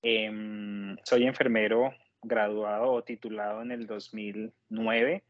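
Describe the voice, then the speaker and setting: A man in his thirties with a Colombian accent, politely recounting his experience as an interview guest in a measured, explanatory way. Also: fairly low pitch, flat delivery, dry recording, phone-quality sound. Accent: Colombian Spanish. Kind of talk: conversational personal account.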